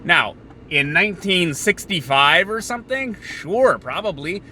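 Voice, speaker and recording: A man hosting a podcast, thinking out loud in a sardonic, opinionated political monologue that moves between halting pauses and bursts. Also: sits high, expressive, a little hiss or hum. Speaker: podcast host.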